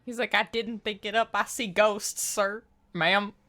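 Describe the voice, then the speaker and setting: A woman's voice: mid-range voice, fairly even intonation, unhurried, bright, forceful tone. A woman streaming a game with casual, amused live commentary and giggly asides.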